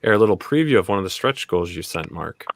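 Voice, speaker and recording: A man's voice: deep voice, expressive, fast talker, no room sound. A man hosting a casual livestream interview, informal and effusively appreciative in the way he talks. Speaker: livestream show host.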